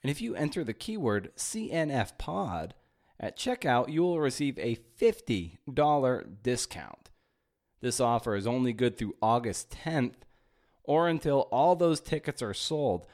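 A man's voice: mid-range voice, expressive, unhurried. Male podcast host, punchy and playful. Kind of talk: podcast intro and interviewing.

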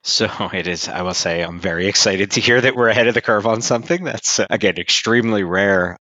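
A man's voice: fairly low pitch, brisk, sharp tone, dry recording. A man hosting a podcast, introducing the episode and asking upbeat, conversational questions. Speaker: podcast host.

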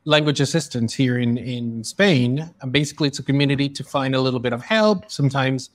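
A man's voice: medium pitch, fairly quick, no room sound. A man hosting a podcast interview, talking earnestly in long, flowing sentences with frequent restarts. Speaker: podcast host. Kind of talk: conversational interview. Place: in Spain.

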